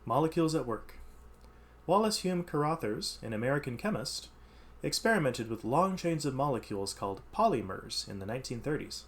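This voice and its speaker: A man reading educational text aloud as a teacher, stressing key words and adding informal explanatory asides. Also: medium pitch.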